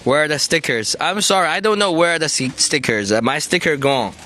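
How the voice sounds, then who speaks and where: A man from China with a Chinese accent, chatting easily on a street livestream in halting second-language English with frequent restarts. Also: a little high, expressive, pushed and bright, a little hiss or hum. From Taizhou, Zhejiang, China. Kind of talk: casual street livestream chat. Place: outdoors on a busy city street. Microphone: phone.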